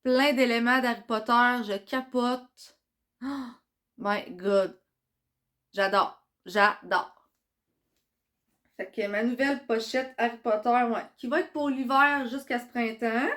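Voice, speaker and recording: A young woman with a Quebec French accent, chatting excitedly in a casual vlog while congested from a cold. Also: a little high, slow pace, pauses often. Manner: chatty and excitable, many fillers. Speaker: vlogger.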